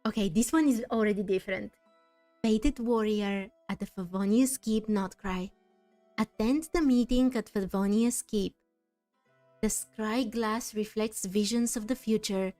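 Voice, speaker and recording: A woman streaming a game playthrough, reading dialogue aloud and musing on it thoughtfully, with pauses mid-phrase. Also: mid-range voice, dark tone, no room sound. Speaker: gaming streamer. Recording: dry, faint game music behind.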